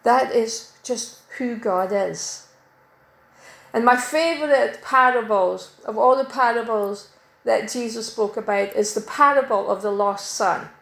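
A middle-aged woman from Denmark preaching a sermon in a church, measured and emphatic, slowing to stress key words. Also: fairly high pitch, vocal fry, a bit bright. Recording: echoey church hall.

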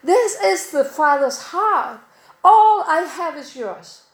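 A middle-aged woman from Denmark preaching a sermon in a church, measured and emphatic, slowing to stress key words. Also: extremely high pitch, animated. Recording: echoey church hall.

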